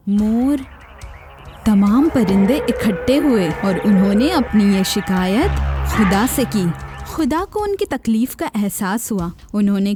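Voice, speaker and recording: A woman reading a scripted children's story expressively, speaking directly to young listeners. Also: on the high side.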